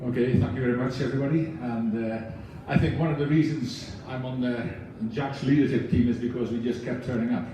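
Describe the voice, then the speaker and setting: A man's voice: mid-range voice, steady tone, fairly quick, hardly any pauses, background noise. A man on a book club's leadership team, speaking to an audience with a foreign accent in an earnest, passionate way, with many restarts. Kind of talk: speech to an audience. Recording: echoey room, voice from far off.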